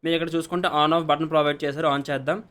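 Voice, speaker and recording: Guy doing a casual gadget review, talks super fast, slightly high voice, goes feature by feature. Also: fairly even intonation, fairly bright tone. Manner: repetitive step-by-step explaining, mixing in English words. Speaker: product reviewer, channel host. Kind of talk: casual unboxing and review narration.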